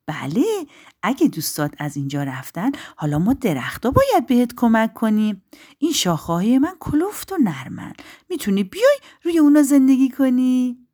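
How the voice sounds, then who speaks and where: A motherly spruce tree from a storybook, performed by a woman, speaks kindly and invitingly. Its voice lilts widely with a soft husk, gentle and reassuring. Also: fairly high pitch, very animated, pitched above the performer's own voice.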